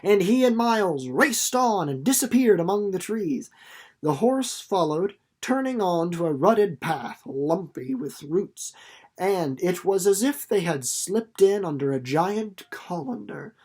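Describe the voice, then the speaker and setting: A woman's voice: on the low side, animated, unhurried, darkish tone, slightly rough. A woman reading and informally discussing a children's novel, like a teacher reading aloud. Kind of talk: storybook narration and informal commentary.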